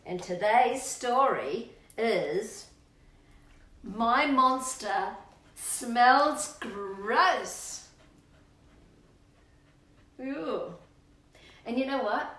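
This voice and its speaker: A woman's voice: a little high, animated, extremely slow, long pauses, fairly bright tone. A middle-aged Australian woman chatting to children and a pet in a playful, coaxing storytime manner, with sing-song questions. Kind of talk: chatty children's storytime, talking to kids and her dog. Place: indoors at home, on a couch. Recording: roomy echo, distant microphone.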